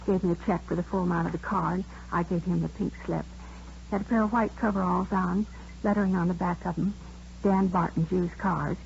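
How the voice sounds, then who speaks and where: Fast-talking proper nursery school lady. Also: lowish voice, steady tone, vocal fry, a little mellow. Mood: chatty, earnest. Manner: talkative, proper, aggrieved. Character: indignant, chatty woman running a nursery school. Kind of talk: 1950s radio drama acting. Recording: muffled old radio, faint noise.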